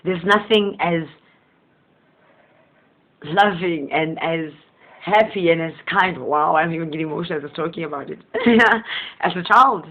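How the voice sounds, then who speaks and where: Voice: medium pitch, a little slow, pauses often. Host, tinny phone-like sound. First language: Southern Sesotho. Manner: rambling, chuckling often, gentle and earnest. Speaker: host of a motivational channel. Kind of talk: casual spiritual monologue.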